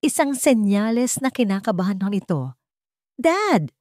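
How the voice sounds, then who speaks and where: Woman, story narrator, dramatic scripted delivery, lowish pitch, dry recording. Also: lively intonation, normal speed, darkish tone, dry recording.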